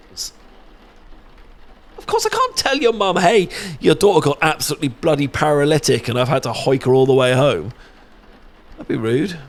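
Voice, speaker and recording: A man's voice: on the high side, sing-song, normal speed. A British man playing a shy, sweet best friend secretly in love, stammering and hesitant in a romantic ASMR audio roleplay. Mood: sheepish, reminiscing, then mock indignant.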